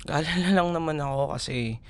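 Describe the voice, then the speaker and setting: A man with a Filipino accent playing an affectionate, teasing young college boyfriend in an intimate, softly hesitant ASMR roleplay. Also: a little high, a little fast, clear, resonant tone, natural voice. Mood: gentle, wistful concern.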